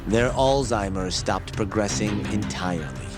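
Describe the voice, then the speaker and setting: A male actor voices a learned medical expert in a game drama, with a fairly low male voice. He explains patiently and earnestly, articulate and measured, with a wry teasing edge. Music plays behind him. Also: natural voice. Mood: patient explaining. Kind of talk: English video game dub, explanatory dialogue. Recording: background music under the voice.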